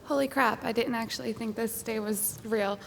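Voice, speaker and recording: A young woman speaks informally and with bubbly energy at a graduation podium. Her voice sits a little high with a lot of vocal fry. Faint ambient noise sits behind her. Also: steady tone, normal speed. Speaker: graduating student. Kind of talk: casual commencement speech. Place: graduation ceremony.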